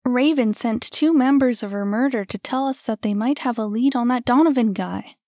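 A woman reading an eager young messenger in an audiobook, her voice high and loud with excitement. The lines come out close and dry. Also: moderate pace, dry recording, lightly acted. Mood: excited.

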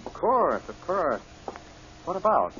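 Smug, swooping gentleman villain. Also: on the high side, sing-song, takes it slowly, noisy background. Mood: smugly genial. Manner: taunting, mock-polite, hesitant stammering starts. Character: smug, sinister gentleman murderer, falsely genial. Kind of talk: old radio drama acting. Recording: dull, muffled old radio broadcast.

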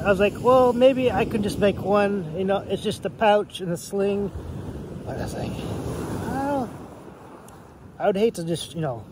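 A man in his thirties giving a casual show-and-tell in a hesitant, rambling way. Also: fairly high pitch. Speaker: writer and hobbyist reviewer. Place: outdoors on a beach shore. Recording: outdoor wind and water noise.

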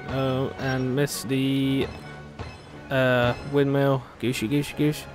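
A man's voice: medium pitch, flat delivery, takes it slowly. A man giving casual gameplay commentary, with playful, sarcastic asides. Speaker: gaming video host. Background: game music.

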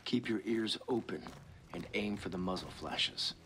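An actor playing a level-headed, terse mercenary squad leader in a video game. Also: male voice, fairly low pitch, moderate pace, noisy background, close to an everyday voice. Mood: commanding. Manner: terse, commanding.

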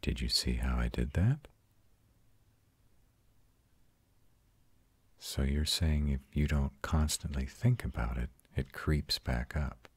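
A man voicing a tender, protective male vampire in an intimate, hushed audio roleplay, soothing and husky. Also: measured pace, no echo, exaggerated voice. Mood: patient, soothing. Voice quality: very deep, husky, often breathy whispering. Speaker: voice actor.

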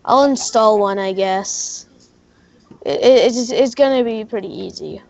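A gamer who talks haltingly and repeats words while chatting in character in a Minecraft roleplay. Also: mid-range voice, vocal fry. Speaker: player in a gaming video. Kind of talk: casual in-character chat during a Minecraft roleplay. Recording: muffled mic with faint noise.

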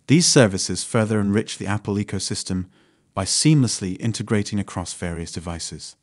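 A man narrating a scripted finance video in a steady read, lifting his voice at the start of each new point. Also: fairly low pitch, no echo, clean studio sound.

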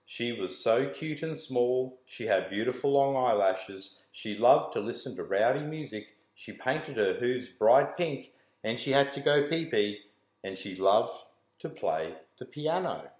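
A man's voice: mid-range voice, animated, unhurried, a little mellow. A man in his forties reads a rhyming picture book aloud to children in a sing-song, rhythmic way. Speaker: school staff member reading aloud to children. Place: small indoor room with bare walls. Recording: roomy indoor echo.

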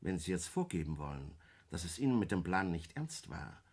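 An actor playing a stern, sceptical police commissioner with a formal manner in a 1960s German radio play. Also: male voice, deep voice, very animated, moderate pace, darkish tone, slightly rough, full character voice. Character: stern male police commissioner interrogating a suspect. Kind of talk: German radio play acting around 1960.

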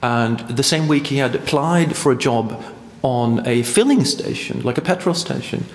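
A man's voice: mid-range voice, expressive, moderate pace. A man in his thirties from Sweden with a Swedish accent, telling a rambling story as a performance artist, full of hesitations and restarts. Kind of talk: performance monologue. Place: large hall. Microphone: stand mic. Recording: echoey hall, long reverb.

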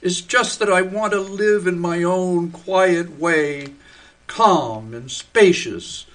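Actor as a dreamy, whimsical husband turning tree, bright, slightly high voice, radio play. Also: male voice, slow. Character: mild middle-aged husband turning into a tree. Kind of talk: community radio theater acting.

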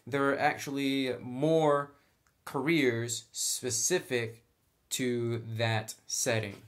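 A man hosting a casual tabletop game tutorial, talking in a chatty, informal, slangy way. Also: mid-range voice. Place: indoors at a tabletop.

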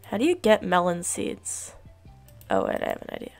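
A woman's voice: lowish voice, huge pitch swings, moderate pace, creaky, faint music behind. A young woman streaming a block-building game, muttering casual commentary to herself while getting over being sick. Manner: mumbling self-talk. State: recovering from illness.

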